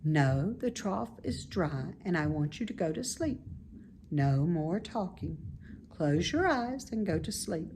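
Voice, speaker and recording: A woman voicing a calm, motherly female spider in a storybook, measured, comforting and gently firm. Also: fairly low pitch, slight background noise, exaggerated voice.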